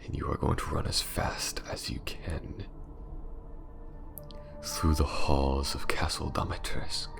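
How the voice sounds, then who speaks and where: A man playing a menacing, aristocratic vampire lord in an ASMR roleplay, slow and theatrical, often sinking into a sinister whisper. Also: very deep voice, level tone, creaky, music in the background, full character voice. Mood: mocking, predatory.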